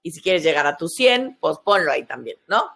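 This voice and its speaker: A woman's voice: sits low, big pitch swings, fairly quick, vocal fry, a bit bright, breathy edge. Mexican woman in her forties, explaining conversationally. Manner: explains step by step with frequent tag questions. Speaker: podcast host, sexologist. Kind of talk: conversational explanatory podcast talk.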